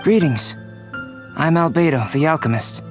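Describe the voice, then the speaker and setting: A man voicing a composed, scholarly young alchemist in a video game, measured, courteous and reserved. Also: a little high, animated, over loud music, natural voice. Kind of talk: video game character acting.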